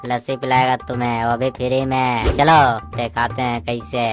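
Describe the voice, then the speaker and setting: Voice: medium pitch, little pitch movement, moderate pace, soft background music, lightly acted. A performer voicing a smug, confident, laid-back cartoon guy, a cocky schemer. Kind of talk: comedy cartoon voice acting.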